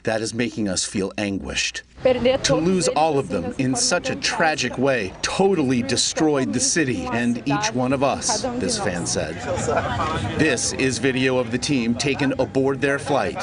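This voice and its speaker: A man narrating a scripted television news report in a measured, solemn way. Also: mid-range voice, big pitch swings, a loud crowd.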